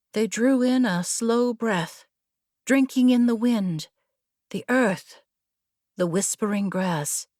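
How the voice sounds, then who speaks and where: A woman reading scripted fiction as an audiobook narrator, in a measured, deliberate, dramatic storytelling manner. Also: mid-range voice, no room sound, studio quality.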